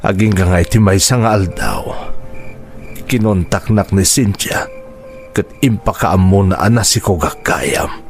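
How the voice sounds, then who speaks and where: A man's voice: sits low, expressive, unhurried, a little hiss or hum. A man playing a middle-aged, remorseful father in an audio drama, patient, earnest and pleading. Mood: solemn, confessional. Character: remorseful, patient father confessing to his son. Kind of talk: Ilocano audio drama acting.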